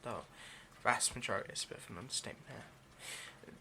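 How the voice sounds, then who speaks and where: A man plays an obsessive, seductive male vampire in an ASMR roleplay. His mid-pitched voice is slow and creaky, with halting, intimate murmurs that slip into breathy whispers. Noticeable noise sits behind the voice. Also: pushed and bright. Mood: halting, explanatory.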